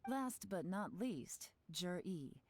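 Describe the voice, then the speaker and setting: Composed, lower-pitched shrewd young woman. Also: big pitch swings, background noise, exaggerated voice. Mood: composed, analytical. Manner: measured, cool, articulate. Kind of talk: video game voice acting.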